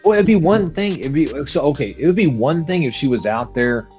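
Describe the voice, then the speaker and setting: A man's voice: on the high side, lively intonation, fairly quick, darkish tone, soft background music. A man co-hosting a commentary show, chatting casually and critically in agreement with his partner. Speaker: co-host.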